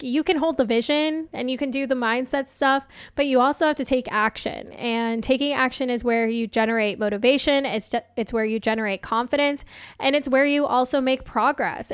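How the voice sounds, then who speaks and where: A woman hosting a solo motivational podcast as a coach, chatting casually and encouragingly, her voice slightly hoarse. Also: on the high side, moderate pace, dry recording, clean studio sound. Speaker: podcast host and life coach.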